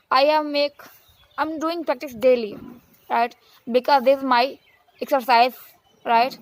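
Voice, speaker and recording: A woman whose first language is Hindi, giving an earnest motivational talk in halting English with many restarts, repeated phrases and fillers. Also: sits high, poor audio. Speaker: vlogger. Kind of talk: motivational monologue, practicing English.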